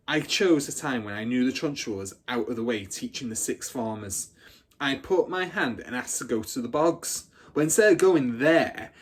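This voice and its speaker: A man's voice: mid-range voice, breathy edge. A man voicing a boastful, battle-hardened older schoolgirl in a storybook, telling her exploits with swaggering drama. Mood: boastful, relishing.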